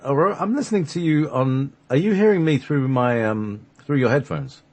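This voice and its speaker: Guy hosting a talk show, husky mid-pitched voice, casual and unscripted. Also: animated, fairly quick, a little mellow. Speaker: show host.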